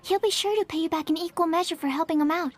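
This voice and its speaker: A woman's voice: extremely high pitch, quick pace. A woman voicing a shy, nervous young female alchemy assistant in a video game, timid and apologetic. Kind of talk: video game cutscene dialogue. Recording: game cutscene with music behind. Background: game music.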